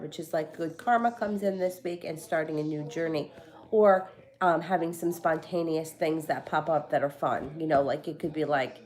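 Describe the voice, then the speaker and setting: A woman's voice: low-pitched, darkish tone. Stuffy-voiced chatty woman reading tarot. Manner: rambling, chatty, with many fillers and restarts. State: stuffy from a cold. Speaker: tarot reader. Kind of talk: casual live-stream tarot reading. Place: home.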